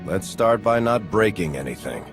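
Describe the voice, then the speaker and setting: A calm commander, very deep voice, dry humor, score loud behind. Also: male voice, normal speed. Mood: steady. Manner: steady and level, with dry humor. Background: music score.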